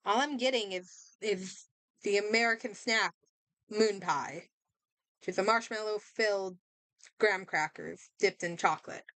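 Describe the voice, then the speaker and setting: A woman streamer chatting casually and thinking aloud in an expressive way as she comments on a game. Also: mid-range voice, unhurried, dry recording, low-quality recording.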